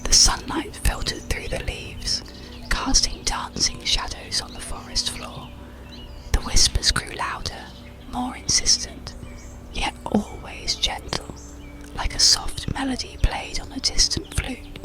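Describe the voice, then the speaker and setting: A storyteller narrating an ASMR bedtime story in a soothing, deliberate whisper. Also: high voice, huge pitch swings, over music. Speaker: audiobook storyteller.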